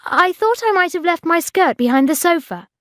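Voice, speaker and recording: A woman's voice: sits high, big pitch swings, slow pace, no echo, studio quality, pitched above the performer's own voice, heavily acted. A woman voicing an anxious, self-pitying single woman given to melodrama, as in an audiobook diary. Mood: nervous, evasive. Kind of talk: audiobook diary character acting.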